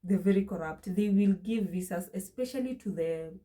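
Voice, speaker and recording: A woman's voice: medium pitch, normal speed, warm tone. A young woman with a Kenyan accent, talking to her vlog audience in an emphatic way and repeating phrases for stress. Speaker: vlogger. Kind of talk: casual opinion vlog.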